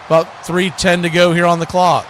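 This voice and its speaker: A man with a fairly high-pitched voice works as a sports host, mixing live football play-by-play with casual chat. Clear background noise runs under the whole feed. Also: monotone, normal speed, a bit bright.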